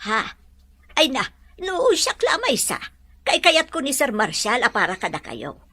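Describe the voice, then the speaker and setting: A woman's voice: sits high, wildly swooping pitch, unhurried, bright, forceful tone, exaggerated voice. A woman acting a respectful, apologetic servant in an Ilocano audio drama. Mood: earnest, deferential.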